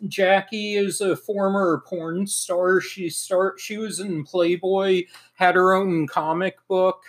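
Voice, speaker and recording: A man's voice: high voice, steady tone, slow pace. A man from the Philadelphia area, a co-host in casual podcast chat, who cuts in with corrections and background context.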